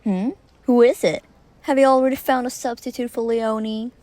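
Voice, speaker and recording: A man voicing a playful young woman who teases her friend, in a bouncy, giggly falsetto. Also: steady tone, moderate pace, darkish tone, dry recording. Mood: teasing.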